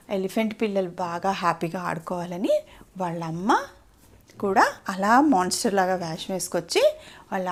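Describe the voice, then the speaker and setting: A woman telling a story to a child in a simple, explanatory way, often asking questions. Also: mid-range voice.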